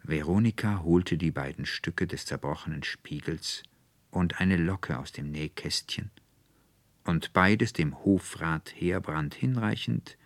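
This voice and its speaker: A man narrating a classic literary tale as an audiobook, expressive and carefully scripted. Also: low-pitched, slow, dry recording.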